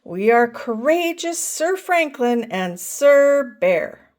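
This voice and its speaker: A woman's voice: a little high, very animated, takes it slowly, darkish tone. A woman voicing an eager young boy turtle in a read-aloud storybook, talking in a raised, childlike way.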